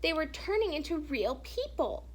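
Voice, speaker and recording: High-voiced woman reading a storybook. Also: sing-song, moderate pace. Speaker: teacher or reader reading a children's picture book aloud. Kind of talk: expressive storybook read-aloud narration.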